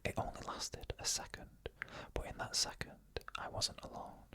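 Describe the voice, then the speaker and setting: An ASMR narrator telling eerie first-person stories in a hushed, slow, suspenseful whisper, almost entirely without voice. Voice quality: unvoiced whisper throughout.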